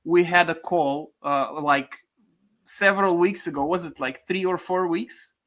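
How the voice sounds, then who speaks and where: A man's voice: fairly high pitch, unhurried, a bit bright. A man hosting a conference interview, friendly and casual, with many fillers. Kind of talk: conversational interview.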